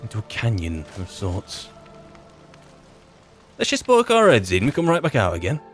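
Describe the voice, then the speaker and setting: A man's voice: lowish voice, wildly swooping pitch, normal speed, soft background music. A man hosting a gaming video, improvising roleplay commentary in a wry, musing and rambling manner.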